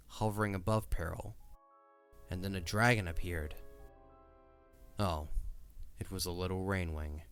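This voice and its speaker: Deep voice guy narrating an audio drama, scripted storytelling, faint music behind. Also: fairly bright tone, smooth.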